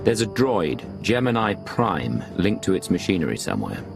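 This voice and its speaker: An actor playing a composed imperial agent in a video game, measured and businesslike, with a gravelly voice. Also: male voice, sits low, very animated, over music, exaggerated voice. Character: composed male imperial agent, outsider field commander. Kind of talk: dramatic video game dialogue.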